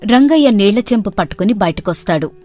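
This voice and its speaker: A woman storyteller narrating a comic bedtime story in a steady, plain way. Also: mid-range voice, quick pace, soft background music.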